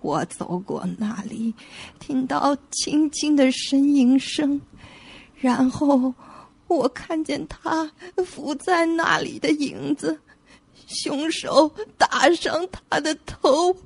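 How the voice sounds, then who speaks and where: An actress playing a grieving woman who lost her twin, with halting, anguished delivery in an audio suspense drama. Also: high-pitched, vocal fry, dry recording, exaggerated voice.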